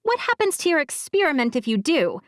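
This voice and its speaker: A woman playing a shrill scolding girl. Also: big pitch swings, fairly quick, a bit bright, no echo, studio quality. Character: bossy, exasperated young girl.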